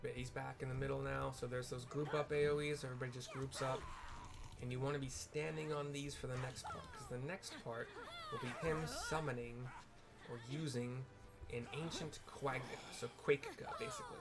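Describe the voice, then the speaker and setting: A man's voice: mid-range voice, fairly even intonation, normal speed, gravelly, over loud music. A man giving casual, rambling gameplay commentary, explaining and describing what happens as he plays. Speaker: video game streamer and narrator.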